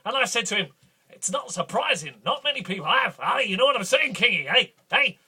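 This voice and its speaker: Brief: a man voicing a boastful duke, husky, high and swooping, full of bluster. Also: animated, fairly quick, pushed and bright, full character voice. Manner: rambling, bombastic, boastful. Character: boastful blustering male Scottish duke, belligerent. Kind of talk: improvised comic character monologue.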